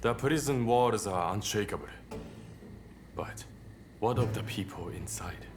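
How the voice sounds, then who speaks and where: A man's voice: lowish voice, measured pace, over music, lightly acted. A man playing a sly, sardonic samurai schemer in a video game cutscene. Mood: calculating.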